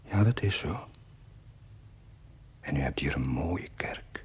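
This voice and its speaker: A shy young priest in a TV drama, praying humbly and anxiously. His low voice moves slowly and hesitantly, often half-whispered, in a long church reverberation. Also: lively intonation, exaggerated voice. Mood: timid, confiding. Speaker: actor in a television drama series. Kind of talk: intimate naturalistic TV drama monologue in prayer.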